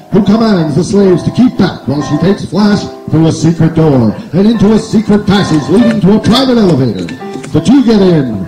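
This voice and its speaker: A man acting as an excited old-time radio announcer, narrating an adventure serial with theatrical urgency. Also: high-pitched. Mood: dramatic. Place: outdoor woodland stage at night. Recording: echoey live stage over music.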